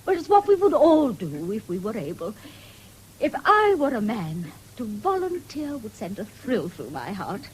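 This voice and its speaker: A genteel middle-aged matron, pious and effusive. Her pitch swoops up and down with patriotic fervour, over the faint noise of a 1970s radio broadcast. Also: huge pitch swings, moderate pace, rough recording. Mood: gushing, earnest. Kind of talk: radio drama acting.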